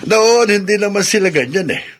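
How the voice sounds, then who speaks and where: Easygoing uncle shopkeeper. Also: male voice, high voice, expressive, fairly quick, a bit bright. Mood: reflective. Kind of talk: radio drama acting.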